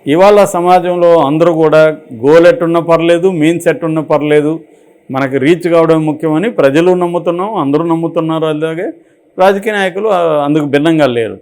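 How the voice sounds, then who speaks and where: Man, Telugu accent, slightly high voice, unscripted commentary, explanatory, argumentative rhetorical questions. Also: brisk, a little mellow. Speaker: political and film commentator. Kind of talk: unscripted opinionated interview commentary.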